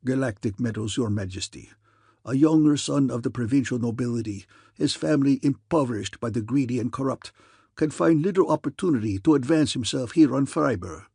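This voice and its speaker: A man voicing a brash, boastful con man who poses as a provincial count, gruff and pompous, with a thick invented aristocratic accent. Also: medium pitch, a little fast, dark tone, no room sound, clean studio sound. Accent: thick invented foreign aristocratic accent. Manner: gruff, pompous, scowling delivery.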